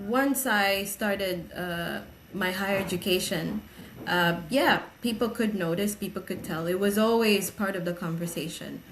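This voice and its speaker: A young woman from Tanzania answering interview questions, measured and pausing often with fillers. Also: medium pitch, a little hiss or hum, poor audio. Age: young adult. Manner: measured, hesitant, many filler pauses. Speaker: guest, gender equality advocate.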